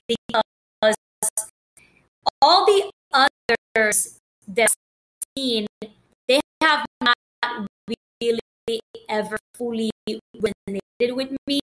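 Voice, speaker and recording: Woman from haiti, kinda higher voice, rambles a lot with ums and restarts. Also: fairly bright tone, low-quality recording. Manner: rambling, many fillers and restarts. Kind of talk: casual personal talk.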